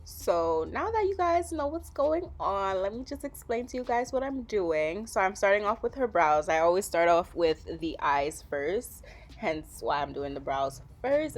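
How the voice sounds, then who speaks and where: A woman from Trinidad and Tobago, talking through a makeup tutorial as a relaxed, chatty voice-over. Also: fairly low pitch. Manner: chatty, relaxed, with frequent fillers. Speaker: makeup vlogger.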